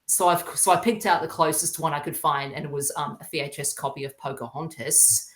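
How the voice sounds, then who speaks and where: A man's voice: on the high side, steady tone, a little fast, a bit bright. Young man, Australian accent, hesitant earnest chat, podcast guest, roomy echoing recording. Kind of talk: casual interview chat.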